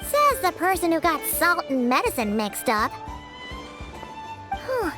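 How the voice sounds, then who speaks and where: A woman's voice: very high voice, big pitch swings, slow, over music, full character voice. A woman voicing a small, cheerful, childlike fairy companion in a video game, perky and exclamatory. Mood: chatty. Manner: perky, exclamatory, speaks of self in third person.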